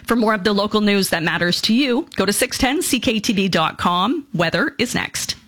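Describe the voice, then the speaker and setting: Steady woman reading radio news. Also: mid-range voice. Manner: steady, measured delivery. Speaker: newsreader. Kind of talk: radio news and weather read. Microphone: studio microphone.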